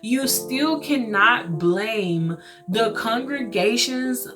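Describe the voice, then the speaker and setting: A woman speaks in a loud voice that carries, telling her church story casually but earnestly. She leans on her points and tosses in rhetorical asides. Soft guitar music plays clearly behind her throughout. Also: on the high side, expressive, very slow. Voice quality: loud, carrying voice. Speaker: churchgoer sharing a personal testimony.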